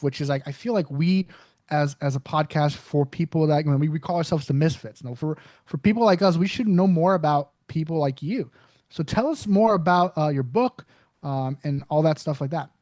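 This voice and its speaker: Higher-voiced male podcast host, dry sound. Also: normal speed, darkish tone, dry recording. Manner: rambling, filler-heavy questions. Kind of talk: casual podcast chat.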